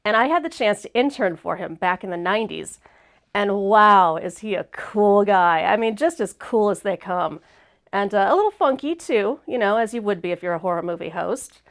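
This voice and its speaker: A woman hosts a casual live chat show, a little congested and sniffly as she talks. Her voice sits at an ordinary middle pitch. She stays chatty and upbeat and laughs easily. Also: expressive, normal speed. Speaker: host.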